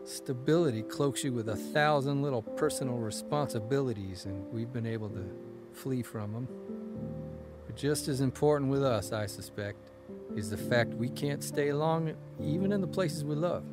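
A man's voice: medium pitch, normal speed, music in the background, close to an everyday voice. A man playing a wry, wistful American traveling newspaper columnist, telling his stories in a folksy, reflective radio drama style. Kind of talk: first-person period radio drama monologue.

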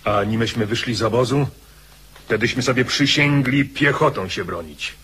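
An actor playing a grave soldier chronicler, recounting a hard retreat in a dramatised radio narration. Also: male voice, mid-range voice, normal speed, fairly bright tone, breathy edge.

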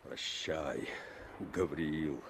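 A steadfast Soviet commissar speaks in a sombre, measured way. He is extremely slow, in an old radio drama with faint sea noise. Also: rumbling low, gravelly, heavily acted. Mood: sombre resolve. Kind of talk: 1960s radio drama acting.

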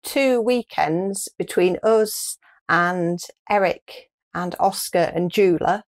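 A woman's voice: lowish voice, slow. A woman in her fifties with a British accent, chatting casually as a co-host. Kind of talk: casual chat. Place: small furnished room, indoors.

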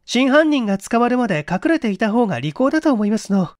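A woman plays a young lady from a vintage mystery drama. Her voice is high and feminine, with refined, composed manners and a pleading politeness. The recording is close and dry. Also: very animated, fast talker, darkish tone, no room sound. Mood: composed, polite.

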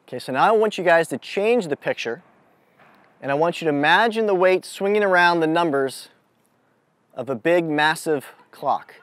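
A man speaking as a mental toughness coach, giving a deliberate, instructive motivational lesson to a group out in the open. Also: fairly high pitch, lively intonation, fairly bright tone, no room sound. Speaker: mental toughness coach leading a youth clinic. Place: outdoors on an amphitheater lawn.

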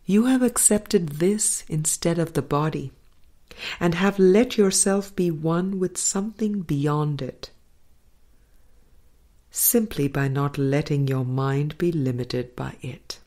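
A woman reading a spiritual book aloud in a measured, deliberate manner, pausing between phrases. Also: low-pitched, dark tone. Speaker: audiobook reader.

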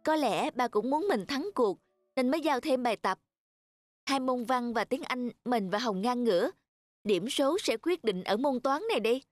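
A woman playing a proud, competitive schoolgirl in an animated cartoon. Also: a little high, moderate pace, no echo, soft background music, natural voice. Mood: determined.

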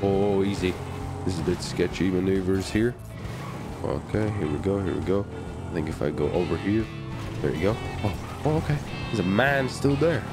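A man giving excited, boisterous gameplay commentary as a video host, with frequent shouts and swearing. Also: deep voice, normal speed, over loud music.

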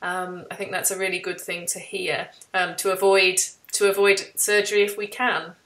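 A woman in her forties conducting a conversational interview with encouraging, halting phrasing. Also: fairly low pitch, flat delivery, a bit bright. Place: indoor room, video call. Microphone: computer on video call.

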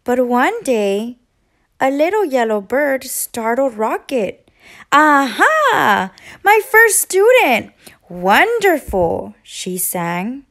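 A woman narrating a children's storybook aloud in an expressive, deliberate storytelling manner. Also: a little high. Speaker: children's read-aloud host, teacher.

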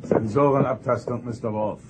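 A man's voice: mid-range voice, steady tone, normal speed, noisy background, natural voice. A man playing a stern, authoritative starship captain in a science fiction audio drama, giving clipped orders. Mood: commanding. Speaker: voice actor.

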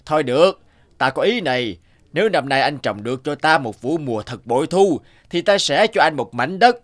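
A man's voice: fairly high pitch, animated, natural voice. Man playing a pompous, scheming cartoon landlord. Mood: scornful. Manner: pompous, taunting, drawn-out delivery. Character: fat, wealthy, scheming male landlord, mocking and greedy. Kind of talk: cartoon dialogue acting.

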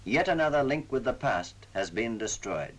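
A man's voice: mid-range voice, lively intonation, moderate pace, rough recording. A man narrating a wartime propaganda film in a solemn, formal, oratorical way, on a vintage 1940s soundtrack. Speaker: newsreel narrator.

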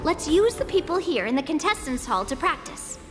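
Self-assured little swordswoman, anime game, instructive, animated pitch, reverberant. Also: normal speed. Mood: confident, instructive. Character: small, self-assured girl swordswoman, coaching a rival. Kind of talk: anime-style video game voice acting. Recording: long reverb, music behind. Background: music.

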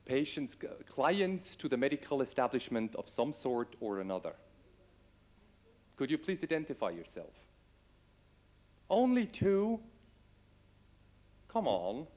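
A man's voice: medium pitch, very animated, unhurried, long pauses, darkish tone. Man giving a conference talk. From Switzerland. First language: Swiss German. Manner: addresses audience directly, asks questions. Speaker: conference speaker. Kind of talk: conference presentation. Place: conference room with window. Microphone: table microphone.

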